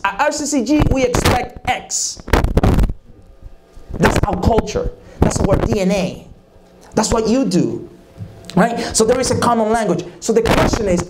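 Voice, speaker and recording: Man teaching, noisy stream. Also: high voice. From Dominican Republic. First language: Spanish. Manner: repetitive, drills key phrases and quizzes the audience. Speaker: speaker at a church leadership summit. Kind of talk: leadership teaching talk. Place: church. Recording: noisy live-stream recording.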